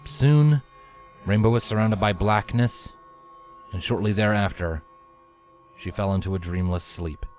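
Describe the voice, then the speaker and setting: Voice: deep voice, unhurried, pauses often, a little mellow, soft background music. A narrator reading scripted fiction aloud as storytelling. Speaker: narrator, fanfiction reader.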